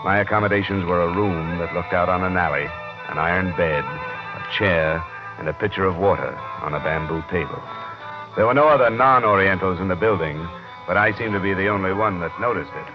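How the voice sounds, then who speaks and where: An actor playing a tough, world-weary American insurance investigator in a vintage radio drama, clipped and matter-of-fact. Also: male voice, low-pitched, a little fast, natural voice. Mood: dry, weary. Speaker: lead actor in a radio detective series. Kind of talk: 1950s radio drama acting, first-person narration and dialogue. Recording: muffled vintage radio recording with music.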